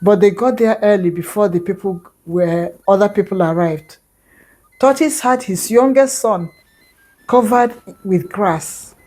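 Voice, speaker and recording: A woman's voice: mid-range voice, measured pace, a little mellow. A woman with a Nigerian accent narrating an oral folktale conversationally, adding small explanatory asides as a storyteller.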